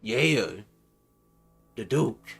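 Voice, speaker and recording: A man's voice: mid-range voice, expressive, drawn-out words, creaky, fairly bright tone, faint music behind. A man livestreaming a game, giving casual live reactions in brief interjections.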